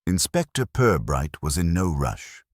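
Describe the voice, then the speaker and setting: Casting: male mystery narrator, bass register, raspy edge, literary and wry delivery, dry close sound. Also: big pitch swings, unhurried, no room sound. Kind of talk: scripted narration of a mystery story.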